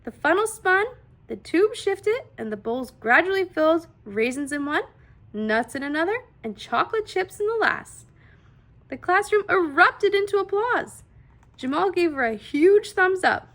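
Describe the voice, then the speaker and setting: A woman reading a children's story aloud in an expressive, deliberate style with dramatic emphasis on key words. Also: very high-pitched. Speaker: children's read-aloud host.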